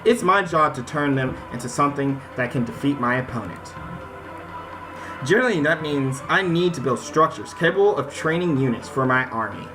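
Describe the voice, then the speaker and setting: A man's voice: medium pitch, lively intonation, normal speed. An American man hosting a gaming video, mixing casual commentary with reading game text aloud, informal and prone to stammering and repeating words. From United States. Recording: music behind the voice.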